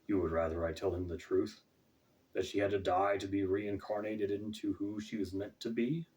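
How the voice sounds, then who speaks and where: A man voicing a solemn, commanding Death in a storybook, speaking with measured authority. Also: lowish voice, flat delivery, a little mellow, close to an everyday voice. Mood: stern, commanding. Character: solemn, commanding Death, robed male master of shadows. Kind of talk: storybook character voice. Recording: distant, off-mic home recording.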